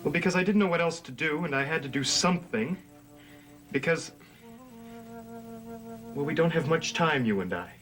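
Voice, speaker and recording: A lovesick young man of a gothic TV soap. His voice sits fairly high, earnest and moody, with music playing clearly behind it. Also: animated, normal speed, long pauses, fairly bright tone. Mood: confessing. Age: young adult. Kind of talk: 1970s gothic soap opera acting.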